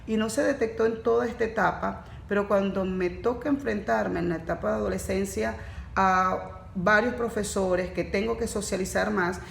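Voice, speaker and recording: A woman's voice: mid-range voice, fairly even intonation, normal speed, vocal fry. A woman, a facilitator explaining neurodiversity in a podcast conversation and telling anecdotes. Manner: explanatory, tells anecdotes with quoted speech. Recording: echoey room with faint background noise.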